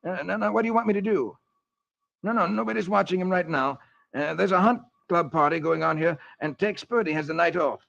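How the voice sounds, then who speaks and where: A man's voice: high voice, brisk, gravelly, fairly bright tone, pitched above the performer's own voice, full character voice. A man voicing a nervous, scheming young villain who stammers furtively in a vintage children's radio reading. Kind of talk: 1940s children's radio comic-strip reading. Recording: muffled vintage radio recording.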